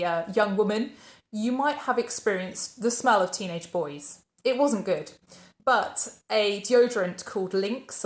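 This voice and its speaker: A woman narrates a biography for her channel. She tells it as a story and keeps posing questions that she answers herself. Her voice sits at a middle pitch and carries the echo of a roomy space. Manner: storytelling, poses questions then answers them. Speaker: channel host.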